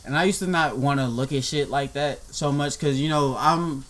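A young man in casual conversation, telling a story in a relaxed way with many fillers. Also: fairly high pitch, moderate pace. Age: young adult.

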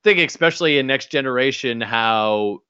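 A man's voice: medium pitch, moderate pace, pushed and bright, clean tone, dry recording. Podcast co-host, male, chatty recapper who drops into quoted lines; dry, slightly muffled sound. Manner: summarizes scenes and acts out quoted lines. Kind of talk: casual recap chat.

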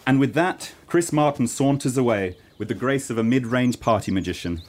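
A man's voice: mid-range voice, animated, moderate pace, a little mellow, slight background noise. A man narrating a scripted comedy radio play in a mock-serious storytelling manner.

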